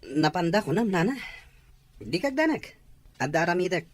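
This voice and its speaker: An actor playing an evasive, easygoing young son in a radio drama. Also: male voice, high voice, big pitch swings, moderate pace. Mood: breezy, evasive. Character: evasive, easygoing young son who likes his friends.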